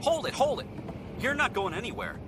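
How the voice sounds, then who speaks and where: Harried station official amid noise. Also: male voice, very high voice, huge pitch swings, brisk, heavily acted. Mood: officious, stern. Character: harried, dutiful male station official, soft-hearted father. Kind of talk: video game cutscene acting. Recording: clear outdoor-like ambient noise.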